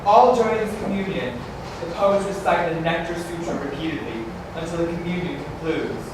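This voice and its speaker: A man's voice: a little high, animated, a little fast, close to an everyday voice. A man playing the narrator of a staged ritual, reading stage directions in a plain, scripted way. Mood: matter-of-fact. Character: matter-of-fact male narrator of a ritual. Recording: very echoey hall from far off, noisy.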